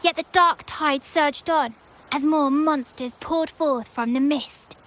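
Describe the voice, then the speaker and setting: High-pitched, earnest young child. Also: female voice, slow pace, fairly bright tone. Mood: solemn, reciting. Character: earnest young child rehearsing as a play's narrator. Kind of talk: video game voice acting. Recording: faint music behind the voice.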